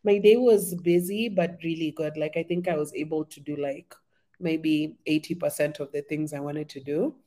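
A woman chats as a podcast guest. Her throat is a little sore. She rambles warmly through her memories with lots of fillers and easy laughter. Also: low-pitched, steady tone, normal speed. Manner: chatty, many "like" fillers, laughs often. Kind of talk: casual conversation.

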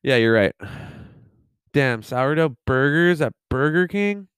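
A man's voice: medium pitch, lively intonation, slow, fairly bright tone, clear, resonant tone, no echo, clean studio sound. A young man co-hosting a casual podcast, rambling with lots of fillers, laughing and swearing. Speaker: podcast co-host. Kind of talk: casual chat.

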